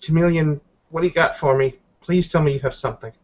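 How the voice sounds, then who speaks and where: A man's voice: a little high, fairly even intonation, fast talker, voice pushed up, natural voice. A man plays a fierce werewolf warrior woman in a roleplaying game. The delivery is urgent, blunt and demanding. The sound is muffled and lo-fi. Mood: pleading. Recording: dull, lo-fi voice recording.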